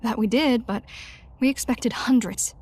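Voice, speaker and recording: An actress playing a hard-nosed, battle-weary resistance leader with curt delivery in video game dialogue. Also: female voice, a little high, a little slow, darkish tone, husky. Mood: grave, recounting. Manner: curt, matter-of-fact.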